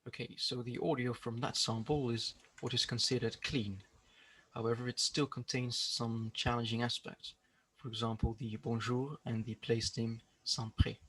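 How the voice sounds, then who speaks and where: A man giving a prepared research presentation in a measured, explanatory way. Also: medium pitch, flat delivery, fairly bright tone, breathy edge, poor audio.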